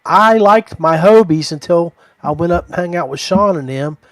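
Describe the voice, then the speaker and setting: A man's voice: on the high side, expressive, moderate pace, no echo, rough recording. A man hosting a podcast in casual chat, with a folksy way of addressing his listeners. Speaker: podcast host. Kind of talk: casual chat about fishing gear.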